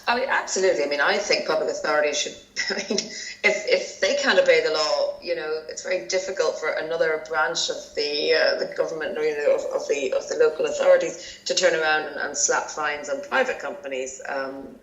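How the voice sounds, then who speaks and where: A woman's voice: low voice, fairly quick, fairly bright tone, dull audio. An Irish woman giving conversational, explanatory answers as an expert guest in an informal interview. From Ireland. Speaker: interviewed journalist, podcast guest. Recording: roomy, echoing sound.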